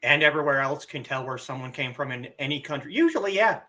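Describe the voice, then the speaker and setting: A man's voice: on the high side, quick pace. A man hosting a casual live stream, explaining language tones and chatting with viewers while getting over a cough. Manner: relaxed, chatty, answers chat questions. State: lingering cough from illness.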